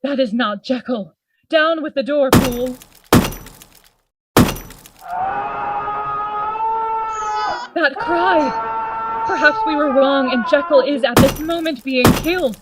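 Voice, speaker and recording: A woman's voice: high-pitched, a little slow, very noisy. A woman acting a grave, commanding Victorian friend investigating a horror in a gothic radio drama, formal and urgent in manner.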